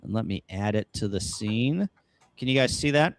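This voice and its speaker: An American man hosting a casual livestream, talking through ideas in halting, short phrases. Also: fairly low pitch, expressive, no room sound. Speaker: host of a ham radio livestream. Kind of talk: casual livestream brainstorming talk. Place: upstairs room at home, kitchen table.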